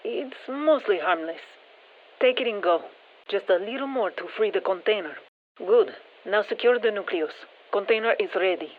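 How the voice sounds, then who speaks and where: An actress playing a composed scientist who gives soldiers matter-of-fact, dryly wry mission instructions through a radio filter in a game. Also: female voice, mid-range voice, lively intonation, moderate pace, narrow, tinny audio. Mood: calm, instructive. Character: composed female scientist-engineer guiding soldiers against alien parasites. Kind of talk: scripted game mission briefing.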